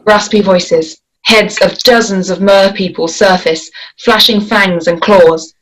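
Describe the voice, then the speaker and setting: Woman, mid-pitched, measured screenplay table read. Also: fairly even intonation, poor audio. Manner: measured, descriptive reading. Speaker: script reader.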